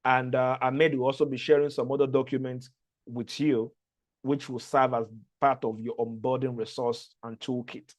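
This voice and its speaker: A man's voice: mid-range voice, level tone, normal speed. A man from Nigeria with a Nigerian accent, English not his first language, giving an earnest onboarding talk to a fellowship cohort with frequent restarts. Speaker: fellowship organizer.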